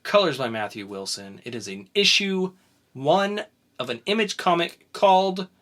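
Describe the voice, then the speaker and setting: A man's voice: fairly high pitch, animated, moderate pace, fairly bright tone. A man hosting a casual podcast who introduces segments and teases his co-host playfully.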